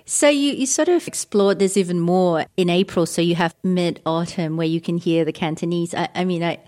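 A woman hosting, reading a measured narration and then asking questions with some hesitation. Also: on the low side, a little mellow. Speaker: podcast host and interviewer. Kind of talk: scripted narration and interview questions.

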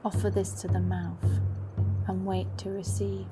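A woman narrating a scripted guided meditation in a deliberate storytelling manner. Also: mid-range voice, level tone. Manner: slow, deliberate storytelling, at times dropping into whispers. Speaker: guide of a meditative sound journey. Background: music.

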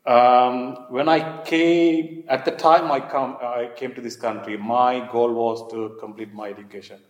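A man's voice: medium pitch, fairly even intonation, a little slow, dull audio. A man with a Sri Lankan accent recounting his own experiences as an interviewee, often repeating his phrases. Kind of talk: oral history interview, personal recollection. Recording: echoey room.

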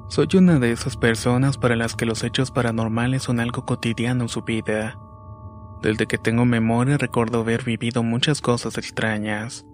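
A man narrates paranormal tales from a script in a deliberate, measured way. His mid-pitched voice stays very even, with little rise or fall. Music plays behind him. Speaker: narrator of listeners' paranormal stories. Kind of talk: scripted horror story narration. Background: background music.

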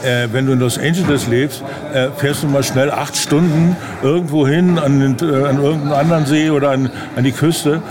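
A man being interviewed in casual conversation, speaking haltingly as he searches for his words. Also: fairly high pitch, steady tone. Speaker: interview guest, audio drama director. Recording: busy crowd around the voice. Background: chatter of a crowd.

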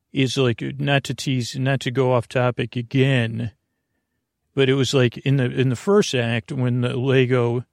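Meandering male sleep-podcast host, gravelly. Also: dry recording, studio quality. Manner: meandering, self-interrupting, tangents; low-key, unhurried delivery. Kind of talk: rambling bedtime monologue.

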